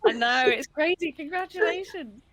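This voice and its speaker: Bubbly, lively female co-host. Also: high-pitched, normal speed, a crowd in the background. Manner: bubbly, effusive, laughs easily. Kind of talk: interview hosting.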